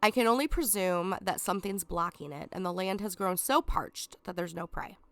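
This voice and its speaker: A scheming, sarcastic lion king, read by a woman with a cold in a video game walkthrough. He sounds stuffed up yet smooth, pitched on the low side and close to a dry mic. He sneers down at the listener with drawn-out dips and breathy whispered asides. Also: a bit bright, dry recording. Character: scheming, sarcastic male lion villain, self-proclaimed king.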